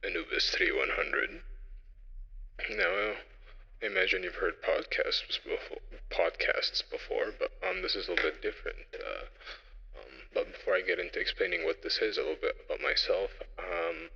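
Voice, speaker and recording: A young man presenting an informal solo audio log, speaking haltingly and reflectively as he thinks aloud, through a radio-style effect. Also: fairly high pitch, wildly swooping pitch, gravelly, sharp tone, husky, long reverb tail, lo-fi sound. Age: young adult.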